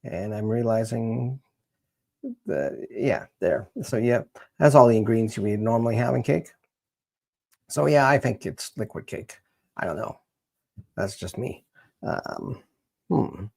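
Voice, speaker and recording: Male livestream host, Canadian accent, creaky and rough-edged voice, informal and meandering delivery. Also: medium pitch, lively intonation, a little mellow. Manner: rambling, halting, thinking aloud. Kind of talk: casual chat to livestream viewers.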